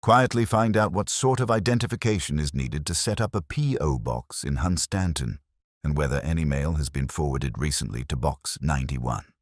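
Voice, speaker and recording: A man voicing a calm, courteous police inspector in an audiobook mystery, formal, dryly understated and quietly probing. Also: rumbling low, moderate pace, no room sound, studio quality. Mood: courteous instruction.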